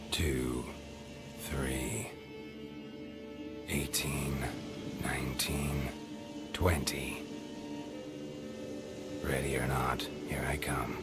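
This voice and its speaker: An actor playing a stoic, terse monster hunter in a video game, with a low gravelly voice. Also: male voice, heavily acted. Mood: playful, dry. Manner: terse, restrained, deadpan. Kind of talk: video game cutscene acting. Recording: loud music behind.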